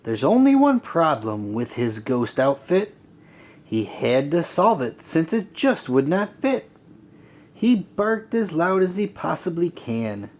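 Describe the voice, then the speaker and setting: A man reading a rhyming children's story aloud in an expressive, deliberate, sing-song way. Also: a little high. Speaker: storybook reader. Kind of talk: children's read-aloud narration.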